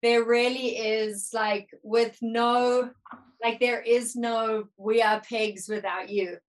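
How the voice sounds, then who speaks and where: A woman's voice: a little high, level tone, takes it slowly, low-quality recording. A woman in her thirties from South Africa, her accent partly Americanized, talking easily as a musician guest. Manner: easygoing, thoughtful, uses "like" often. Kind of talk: casual interview chat.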